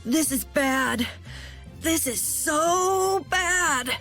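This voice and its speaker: A woman's voice: high-pitched, lively intonation, very slow, pushed and bright, soft background music, exaggerated voice. A woman voicing an earnest, anxious young boy adventurer in a video game dub. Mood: dismayed, fretting.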